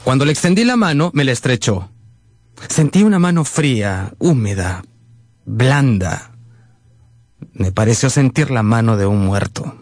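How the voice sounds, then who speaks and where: An actor playing an earnest, polite young man who tells his own story in a radio drama, with measured, expressive delivery. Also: medium pitch, a little hiss or hum, lightly acted. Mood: reflective. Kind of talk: scripted narration with acted dialogue, radio drama. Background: background music.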